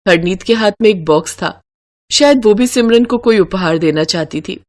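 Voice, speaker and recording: Female storyteller, medium pitch, scripted moral tale narration. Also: animated, normal speed, a little mellow.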